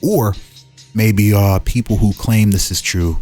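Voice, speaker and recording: A man's voice: lowish voice, measured pace, warm tone. A man hosting in a casual style, reading game lore aloud and breaking off into musing, informal asides. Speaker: host presenting fan-made game lore. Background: music.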